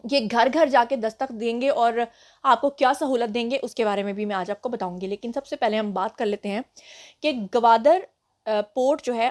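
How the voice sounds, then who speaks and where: A young Pakistani woman with a Pakistani Urdu accent hosting a casual news roundup for her channel, talking slowly and carefully because of a sore throat. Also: fairly high pitch. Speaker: news show host.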